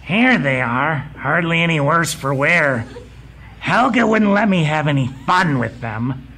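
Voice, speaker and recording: Slow, gleefully sadistic henchman. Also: on the high side, animated, bright, forceful tone, slight background noise, heavily acted. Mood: smug. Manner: eager, drawn-out, gloating. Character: gleefully sadistic, childish male Nazi henchman. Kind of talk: pulp adventure audio drama.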